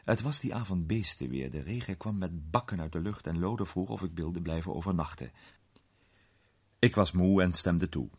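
An actor playing a curious amateur sleuth who tells a suspenseful mystery story in animated, colloquial radio drama narration. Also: male voice, low voice, normal speed, breathy edge. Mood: intrigued, suspenseful. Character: curious male amateur sleuth recounting a suspenseful night adventure.

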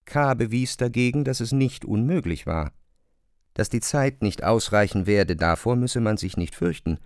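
Low-pitched male narrator in a dry studio. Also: expressive, normal speed, a little mellow, clean tone, no room sound. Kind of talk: literary radio play narration.

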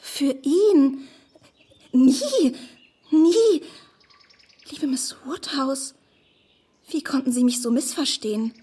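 A woman playing a naive, smitten young woman in a radio drama, earnest and effusive with a breathy delivery. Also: high-pitched, animated, takes it slowly, long pauses, dark tone. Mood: wounded, indignant. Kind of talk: German radio drama acting.

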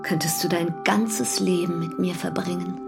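An actress playing a passionate, devoted lover, intimate and direct, in a radio drama. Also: female voice, lowish voice, steady tone, normal speed, gravelly, music in the background.

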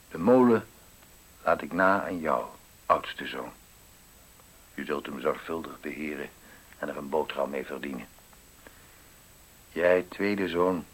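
An actor playing a stern, dying old miller in a radio play, solemn and halting, with a husky, worn voice. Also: male voice, rumbling low, a bit bright, exaggerated voice, rough recording. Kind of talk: 1970s Dutch radio play acting.

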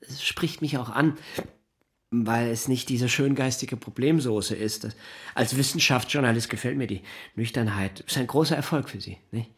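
An actor playing a flustered, talkative middle-aged newspaper science editor in a naturalistic radio drama, halting and digressive, with a husky voice. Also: male voice, medium pitch. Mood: politely chatty. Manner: halting, digressive, breaks off sentences.

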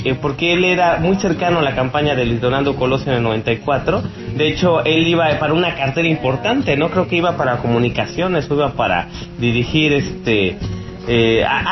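A man with a Mexican accent giving informal, opinionated political commentary as a radio host, rambling and halting, with blunt scorn. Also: fairly high pitch, lo-fi sound. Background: music.

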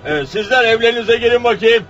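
A man's voice: extremely high pitch, expressive, fast talker, fairly bright tone. An actor playing a concerned, bustling male neighbour who takes charge in a radio drama, recorded distant and dull. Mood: reassuring. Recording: distant, dull, with crowd sounds.

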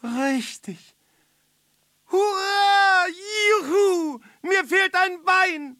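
An actress playing a small, excitable, courteous beetle in a children's radio play, flustered and exclamatory. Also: female voice, very high-pitched, extremely slow, no echo, studio quality, full character voice. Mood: overjoyed. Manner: flustered, stammering at times, exclamatory. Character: excitable, courteous little cockchafer with a missing leg, fiddler.

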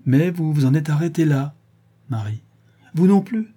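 Stern middle-aged woman interrogator, read by a man, husky and mellow, coldly accusing. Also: fairly high pitch, normal speed, voice pushed up. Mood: probing. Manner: cold, probing, relentlessly accusing.

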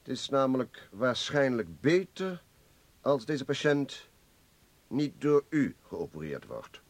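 An actor in a radio play playing an anxious, commanding Party official with an urgent, curt manner. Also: male voice, medium pitch, big pitch swings, slow, no echo, exaggerated voice. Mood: guarded, firm.

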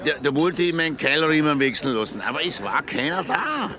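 A somewhat high-voiced Austrian man played by an actor, a hesitant villager in a radio play. He stammers along with lively pitch, people audible behind him. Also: a little fast, crowd noise, close to an everyday voice. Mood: hesitant, musing. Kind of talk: dialect radio drama acting.

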